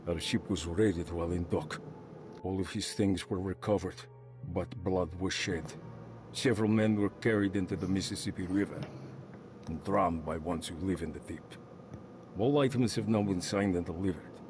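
An actor voicing a grim male sailor who reads out his letter slowly. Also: low-pitched, background noise, close to an everyday voice. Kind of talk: scripted game voice-over.

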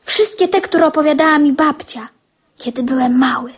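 A woman's voice: sits high, normal speed, lightly acted. A woman playing an eager, chatty young schoolboy who talks earnestly in a radio drama.

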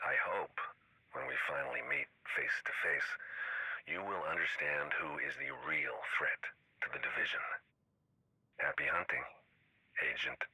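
A menacing rogue network leader for a game. The voice is extremely deep and gravel-rough, with a hard, forceful edge. He talks in a measured, condescending way over radio comms, sure of his power. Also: dry recording, heavily acted, rough recording. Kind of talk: scripted game radio message.

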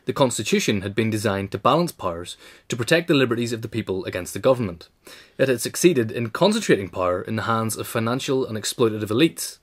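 A man reading a written biographical essay in a measured, formal way. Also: lowish voice, expressive. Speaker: narrator, reader. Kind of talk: scripted narration of a biographical essay.

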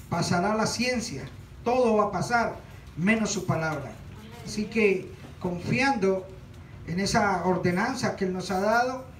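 High-voiced man exhorting in an echoey church. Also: a little hiss or hum. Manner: earnest, reads scripture aloud. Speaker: church leader introducing the offering. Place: small church stage, indoors. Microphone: handheld mic from a far camera. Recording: echoey room, far from the mic.

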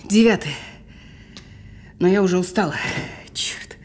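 A woman's voice: on the low side, unhurried, a little mellow. A woman acting in an audio drama as a weary, despairing, insistent caller who speaks bluntly and with resignation. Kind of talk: audio drama phone dialogue.

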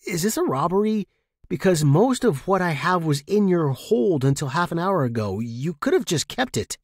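Man playing an awkward station loner, lively pitch. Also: moderate pace, slightly rough, dry recording, clean studio sound, full character voice. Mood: bewildered. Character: frail, awkward, solitary male space station keeper. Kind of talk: audio story character reading.

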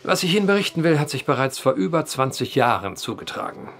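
An actor playing a wry male journalist who tells an eerie old tale with deliberate phrasing in an audio drama. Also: fairly high pitch, very animated, creaky, fairly bright tone, soft background music, exaggerated voice. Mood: measured storytelling. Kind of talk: German audio drama acting, storytelling.

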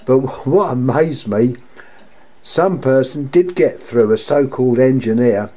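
Older guy with a croaky sore throat, rambly and mellow, showing off lamps at home. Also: mid-range voice, measured pace. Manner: rambling, repeats himself, wry complaints. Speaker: lamp collector vlogger. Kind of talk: casual show-and-tell monologue. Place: indoors at home, table of lamps.

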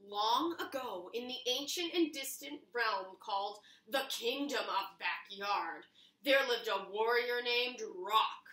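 A young woman reading a picture book aloud to children in an animated, expressive, dramatic storytelling manner. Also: on the high side. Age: young adult. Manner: expressive, drawn-out dramatic narration. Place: small home kitchen, indoors. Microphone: camera a few feet away. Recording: roomy kitchen, camera a few feet away.

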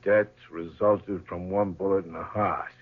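An actor playing a practical police assistant, plain and matter-of-fact, in a 1970s radio drama. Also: male voice, deep voice, steady tone, measured pace, a little hiss or hum. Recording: muffled old radio broadcast.

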